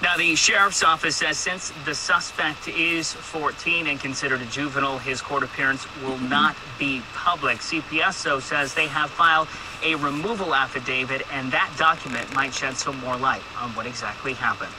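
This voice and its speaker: A man's voice: mid-range voice, steady tone, normal speed. Male field reporter live outdoors. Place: outdoors at night by a hospital. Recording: TV broadcast replayed, noisy.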